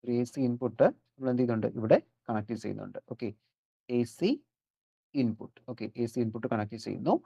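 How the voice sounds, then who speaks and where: A Kerala lecturer with a Malayali accent and a medium-pitched voice works through a technical lesson at a rapid pace. The delivery is built on questions that get answered straight away, sprinkled with English terms. The sound is dull and lo-fi. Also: vocal fry, warm tone, breathy edge. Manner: keeps posing questions and answering them. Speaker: electronics lecturer. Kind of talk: explanatory lecture worked step by step. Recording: dull, lo-fi audio.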